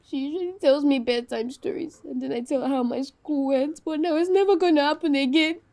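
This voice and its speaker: A woman's voice: high-pitched, a little fast, a little mellow. Woman playing a desperate Nigerian orphan girl. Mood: grieving. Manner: pleading, repeating herself, sobbing. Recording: music playing underneath. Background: music.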